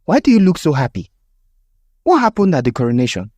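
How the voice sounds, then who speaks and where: A man's voice: a little high, wildly swooping pitch, brisk, warm tone, dry recording, dull audio, pitched above the performer's own voice. A man voicing a humble, reluctant young villager in a storybook tale, puzzled yet firm. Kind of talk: storybook character voice.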